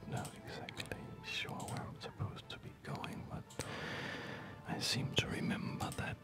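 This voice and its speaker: Whispering male ASMR gamer, unhurried and hushed, trailing pauses, loud game music behind. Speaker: host of an ASMR gaming channel.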